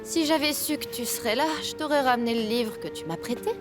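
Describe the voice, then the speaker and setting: A woman's voice: a little high, expressive, fairly quick, lightly acted. A woman playing a cheeky, talkative teenage schoolgirl in a French video game dub, playful and exclamatory. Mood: teasing. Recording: music behind the voice.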